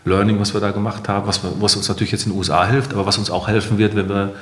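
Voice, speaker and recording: A middle-aged man with a low voice answers as a business founder in an interview. He explains in a conversational way, dropping in English management jargon. The recording is roomy and echoing. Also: little pitch movement, very fast, slight background noise.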